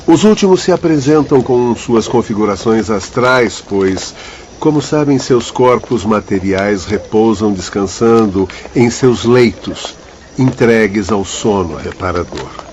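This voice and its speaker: Lowish male voice, courteous teacherly guide, radio drama, dull sound, faint noise. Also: expressive, a little slow, darkish tone. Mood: patient, explanatory. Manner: didactic, polite. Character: courteous male spirit guide instructing his pupils.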